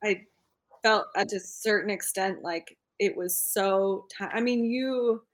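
A woman's voice: mid-range voice, slow pace. Woman, podcast guest, casual chat, laughs a lot, self-deprecating.

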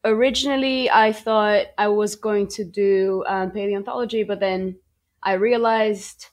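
A woman's voice: medium pitch, steady tone, measured pace. Brazilian-born woman researcher chatting casually. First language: Brazilian Portuguese. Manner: chatty, many ums and restarts. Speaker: PhD researcher guest. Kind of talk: podcast interview, casual storytelling.

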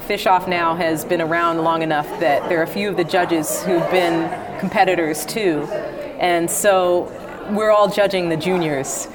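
A woman's voice: on the low side, fairly even intonation, unhurried. A woman speaking as a competition juror in a casual interview, with an enthusiastic, effusive manner. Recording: busy crowd chatter behind. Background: crowd chatter.

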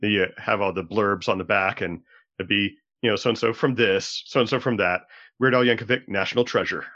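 A man with a fairly low voice talks casually as a podcast panelist. The recording is somewhat dull and lo-fi, lacking top end. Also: steady tone, moderate pace, a bit bright. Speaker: podcast co-host or returning guest. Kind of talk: casual chat. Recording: dull, lo-fi microphone sound.

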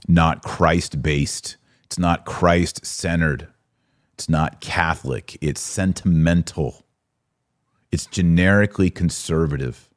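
A man's voice: a bass voice, darkish tone, dry recording, studio quality. An American man hosting a live podcast, commenting and answering viewers in an emphatic way, repeating phrases for stress. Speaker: podcast host.

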